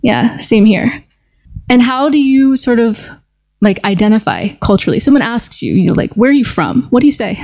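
Woman host, chatting at a table, thinks out loud with lots of ums. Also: fairly high pitch, big pitch swings, moderate pace. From United States. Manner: thoughtful, hesitant with fillers. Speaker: podcast host. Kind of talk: casual conversation. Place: seated at a table with others.

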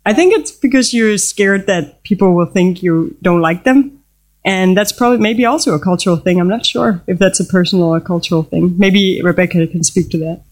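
Animated woman repeating herself at the table. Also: mid-range voice. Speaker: guest. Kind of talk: casual roundtable chat.

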